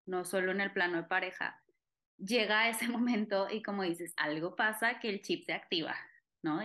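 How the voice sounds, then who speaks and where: A woman's voice: mid-range voice, bright, forceful tone. A woman speaking thoughtfully in casual conversation, often hedging with tag questions.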